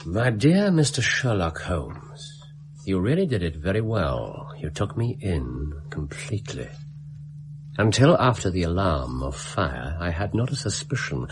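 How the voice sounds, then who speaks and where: A man with an English accent playing a cool, precise, courteous gentleman detective in a radio drama, speaking formally. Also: sits low, very animated, a little slow, noisy background. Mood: measured, intent.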